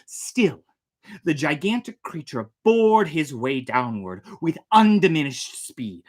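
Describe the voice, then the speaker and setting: A storyteller reading a tale aloud dramatically, theatrically swelling and hushing. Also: mid-range voice, sing-song, slow. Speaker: storyteller, host.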